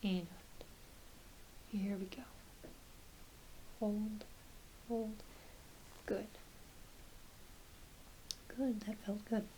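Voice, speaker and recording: Slow, whispery woman in gentle ASMR. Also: mid-range voice, steady tone, poor audio. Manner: gentle, reassuring, hesitant, speaking closely to one patient. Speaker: ASMR performer playing a doctor. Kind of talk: ASMR medical exam roleplay, soft-spoken and whispered. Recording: clear background hiss.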